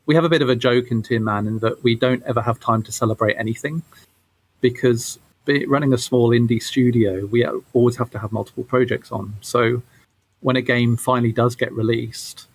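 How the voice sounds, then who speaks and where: A man's voice: medium pitch, fairly even intonation, brisk, poor audio. A man speaking casually as an interview guest, explaining things as a game developer and rephrasing as he goes.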